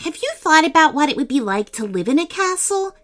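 A woman voicing excited young girls in a children's story, playful and eagerly exclaiming. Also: high-pitched, slow, soft background music, pitched above the performer's own voice, exaggerated voice. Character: two excited young girls, playful best friends on an adventure. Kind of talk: children's storybook character voices.

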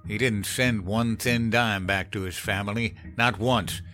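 A man voicing a gruff, cynical old country man with a folksy drawl, telling of hard times in a horror story. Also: fairly low pitch, steady tone, a little slow, pushed and bright, soft background music, pitched above the performer's own voice. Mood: grim, dismissive. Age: older. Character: cynical old country man recounting grim Depression-era hardship. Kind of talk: dramatized horror story narration.

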